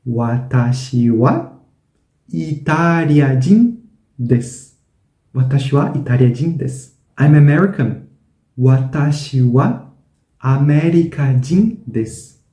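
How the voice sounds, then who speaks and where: Slow, careful man teaching words. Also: mid-range voice, mellow. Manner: repeats each word several times, carefully. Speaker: language teacher. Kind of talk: slow, repetitive language lesson. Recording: roomy echo.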